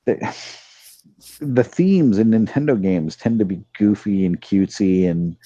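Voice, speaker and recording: A man talking casually as a panelist on a podcast, somewhat halting as he thinks aloud. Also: lowish voice, mellow, dry recording, lo-fi sound. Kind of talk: casual discussion.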